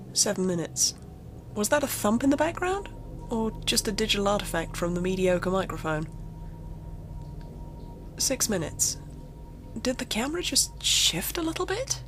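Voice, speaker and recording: A woman reading a first-person horror story aloud in a dramatic, suspenseful, acted-out way. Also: mid-range voice. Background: music.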